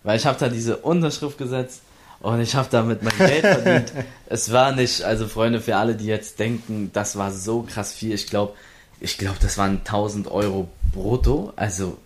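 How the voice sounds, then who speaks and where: A man's voice: on the low side, moderate pace, a little hiss or hum. A young man from Berlin, an esports player, tells his story in a casual interview, rambling with many restarts and acting out what others said.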